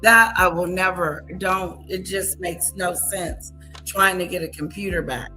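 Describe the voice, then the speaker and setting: A woman's voice: on the low side, steady tone, a little slow, pushed and bright, soft background music. A woman doing reaction commentary in a blunt way, repeating her points and mimicking other people's words. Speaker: commentary host. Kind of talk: reaction commentary on played clips.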